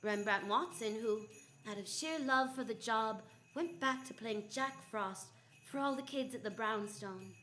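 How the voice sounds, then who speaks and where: A woman's voice: fairly high pitch, a little slow, pushed and bright. A woman playing a confident, wry female private detective who narrates and acts in old-time radio style. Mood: fond, wry. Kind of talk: 1940s radio detective drama, first-person narration.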